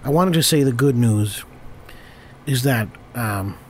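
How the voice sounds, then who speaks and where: A man with a cold, hoarse and stammering, hosting an improvised comedy podcast and constantly correcting himself. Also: medium pitch, lively intonation, takes it slowly, slight background noise. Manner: rambling, stammering, self-correcting. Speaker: podcast host.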